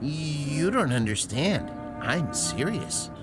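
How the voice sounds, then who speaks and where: An elderly professor of invention, earnest and pedantic. He talks slowly and haltingly, with pitch that leaps and dips widely, in radio drama style over clear music. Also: very animated. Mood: proud, insistent. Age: older. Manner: halting, mild, pedantic explanations. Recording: music behind the voice.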